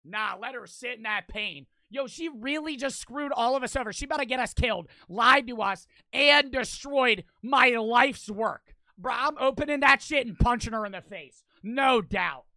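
Loud, bright young male streamer. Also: very high voice, normal speed, few pauses, dry recording. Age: 20s. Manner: loud, excitable, swears and shouts at the game. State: voice cracking, losing his voice. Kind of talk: livestream gaming commentary. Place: small bedroom streaming setup. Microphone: headset mic on boom arm.

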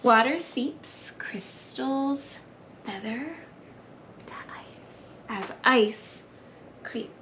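Very slow-paced woman reading to children. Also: a little high, whispered. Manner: expressive, with hushed breathy asides. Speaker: library story time host. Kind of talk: children's story time read-aloud and sing-along. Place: children's library room, indoors. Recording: noticeable background room noise.

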